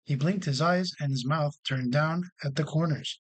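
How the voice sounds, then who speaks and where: Higher-pitched man reading a children's story. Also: normal speed. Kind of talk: scripted storybook narration for children.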